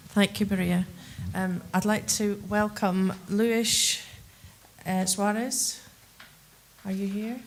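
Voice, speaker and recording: A woman chairing a conference session, introducing speakers and reading out a code in a deliberate way. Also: mid-range voice, level tone, a little hiss or hum, low-quality recording. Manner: slow, deliberate announcing.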